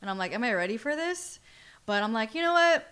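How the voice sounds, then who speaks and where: A young American woman telling stories in a casual, slangy, excitable way as a podcast guest. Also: a little high, brisk, clean tone. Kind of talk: casual storytelling chat.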